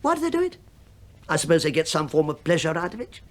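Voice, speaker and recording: Rambling, crafty English police inspector, animated. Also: male voice, a little high, fast talker. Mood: innocently probing. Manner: chatty, hesitant, mock-apologetic, digressing. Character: rambling, falsely affable, crafty older male police detective inspector. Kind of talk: radio play acting.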